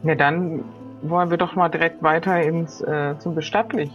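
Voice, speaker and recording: A woman's voice: low voice, steady tone, moderate pace, dull audio, soft background music, close to an everyday voice. A woman playing a friendly, generous pirate on a search, casual and upbeat in improvised role-play. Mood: inquiring.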